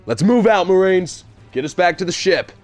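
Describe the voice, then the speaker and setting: A man playing an urgent, commanding Marine captain in an audio drama, shouting terse orders. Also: sits high, expressive, moderate pace, faint music behind.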